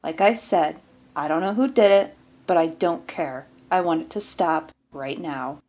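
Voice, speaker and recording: A woman's voice: deep voice, measured pace, a little mellow. A woman voicing an irritable male military sergeant in an audiobook story, sweary, indignant and commanding. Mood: stern, annoyed.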